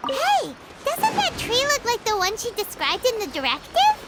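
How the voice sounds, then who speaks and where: A woman voicing a small, chirpy, excitable fairy companion girl in a video game, with a squeaky childlike voice. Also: normal speed, heavy background noise, heavily acted. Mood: curious, eager. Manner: exclamatory, expressive.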